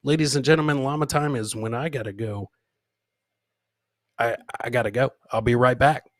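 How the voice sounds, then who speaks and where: A man hosts a casual live comedy show in a dry, close recording. His voice sits at a medium pitch. He rambles through banter, swears freely and addresses his listeners like a showman. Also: normal speed, no room sound. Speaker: podcast host.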